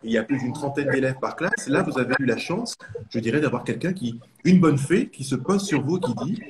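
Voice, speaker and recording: A man hosting a live-streamed interview, talking warmly and at length with rambling questions. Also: medium pitch, expressive, a little fast, background noise. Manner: warm, effusive, rambling questions. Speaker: interview host.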